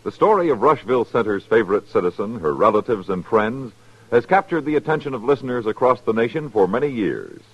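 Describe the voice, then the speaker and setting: A man's voice: medium pitch, expressive, a little fast, breathy edge. A man with an American accent reading a scripted promo as a mid-century radio announcer. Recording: dull old radio broadcast recording.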